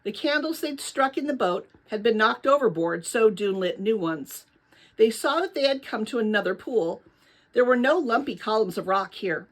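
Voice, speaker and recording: A woman reading a children's novel aloud as narrator, with dramatic, expressive storytelling. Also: a little high, normal speed.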